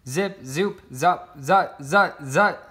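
A man's voice: on the high side, extremely slow, sharp tone. A man reading a children's picture book aloud, voicing the sound words expressively. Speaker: storybook reader.

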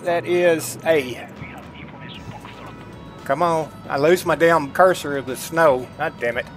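A man's voice: fairly high pitch, fairly even intonation, takes it slowly, faint music behind. A middle-aged man giving casual, folksy gameplay commentary, chatting informally to his viewers. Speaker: gaming streamer. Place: indoors at a computer desk, webcam.

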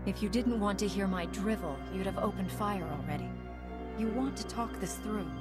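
An actress playing a steady, earnest warrior-monk heroine in a video game, speaking firmly. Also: female voice, mid-range voice, normal speed, loud music behind the voice, lightly acted. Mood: challenging. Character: steady, determined woman warrior-monk hero.

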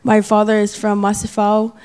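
A woman's voice: medium pitch, monotone, normal speed, darkish tone, smooth. A teenage young woman, a student introducing herself to an assembly. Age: teens, high school senior. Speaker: student speaker.

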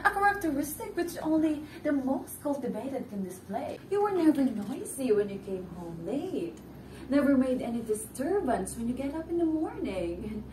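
A young woman acting a talkative, mocking woman in a stage play, with theatrical, deliberate delivery. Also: sits high, very animated, darkish tone, a little hiss or hum. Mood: reflective, flattering. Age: young adult. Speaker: stage actor. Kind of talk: theatrical one-act play dialogue. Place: indoor stage set with living-room furniture. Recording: roomy stage, distant mic, music underneath.

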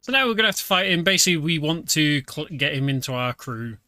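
A British man streaming a game, explaining it in a relaxed, filler-heavy casual commentary. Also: a little high, fast talker, dry recording. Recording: game music behind.

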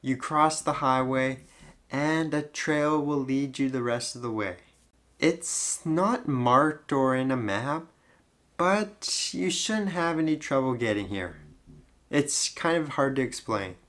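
A young man narrating his road trip vlog in a relaxed, easygoing way. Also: mid-range voice, slow. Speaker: travel vlogger.

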